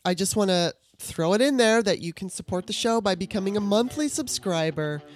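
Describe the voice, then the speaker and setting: A woman's voice: medium pitch, animated, fairly quick. A woman hosting a podcast in an easygoing, chatty way, laughing often. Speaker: podcast host. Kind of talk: casual chat.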